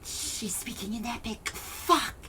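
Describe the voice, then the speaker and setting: Slow-paced, wry male trainee, played by a woman. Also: fairly high pitch, bright, forceful tone, a little hiss or hum. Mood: anxious. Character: wisecracking, nervous male trainee scholar. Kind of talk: naturalistic audio drama acting.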